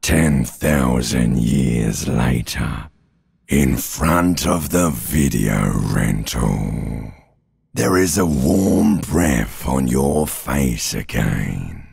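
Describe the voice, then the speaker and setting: Primordial reptile brain, game character, bottomless pitch, heavily rasping growl, slow drawl, menacing, mocking. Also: male voice, expressive, darkish tone, no echo, clean studio sound, exaggerated voice. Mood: slow, ominous. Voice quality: extremely gravelly, raspy growl. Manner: slow, drawn-out, taunting delivery. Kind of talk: dramatic video game voice acting.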